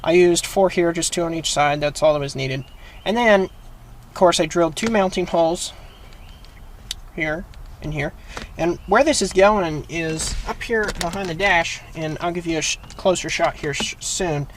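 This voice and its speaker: A man's voice: a little high, normal speed, slight background noise. A man hosting a how-to video, talking through a hands-on job in a casual, plain-spoken, explanatory way. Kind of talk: casual hands-on DIY tutorial.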